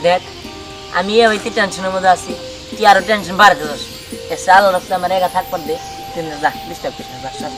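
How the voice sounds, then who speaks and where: A man plays an exasperated, henpecked master of the house in a comedy drama. He grumbles plaintively in a high, fast voice with a Bangladeshi accent. Music plays clearly behind him. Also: steady tone, natural voice, poor audio. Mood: irritated. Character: henpecked, exasperated master with two domineering wives. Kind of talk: Bengali comedy drama acting.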